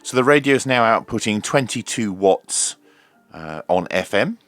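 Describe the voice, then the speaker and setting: A man's voice: lowish voice, lively intonation, moderate pace, fairly bright tone, no echo, soft background music. A man presenting an unscripted, hands-on tutorial, speaking in hesitant, piecemeal phrases with frequent ums. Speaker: presenter of radio review and repair videos.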